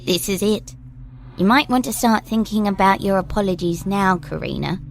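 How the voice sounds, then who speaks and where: An actress plays a stern, poised otherworldly guide in an audio drama. Her mid-pitched voice has a marked creak and an admonishing edge, with music clearly behind it. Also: moderate pace, close to an everyday voice. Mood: stern, reproving. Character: stern, poised female elevator guide who confronts passengers.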